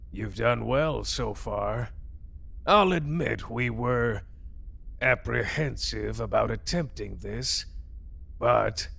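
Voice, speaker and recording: Scheming authority figure played by a man, heavy husky creak, condescending formality, measured delivery for audio drama. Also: medium pitch, fairly bright tone, exaggerated voice. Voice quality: gravelly, husky. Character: calculating male superior, formal and coldly approving.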